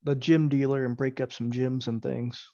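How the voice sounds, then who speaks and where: A man's voice: mid-range voice, little pitch movement, normal speed, mellow, no room sound. A man playing a tabletop roleplaying game, chatting casually about party business, on a lo-fi recording. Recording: dull, dry, lo-fi voice pickup.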